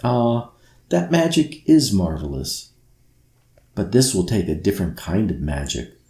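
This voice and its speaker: A gentle, mysterious spirit of sleep from a children's storybook, played by a male reader. He speaks softly and calmly in a mellow tone, taking his time and gliding up and down. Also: deep voice, unhurried, echoey room, exaggerated voice. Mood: calm, regretful. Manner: soft, calm, murmuring. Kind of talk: children's bedtime storybook reading.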